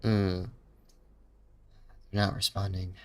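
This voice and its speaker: Low, low-key male game streamer. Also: completely flat delivery, slow pace, creaky, darkish tone, soft background music. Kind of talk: casual live commentary, reading dialogue choices aloud.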